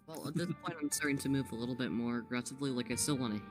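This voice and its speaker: A man's voice: mid-range voice, rapid-fire. A man chatting casually as a player in an online tabletop role-playing game. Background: music.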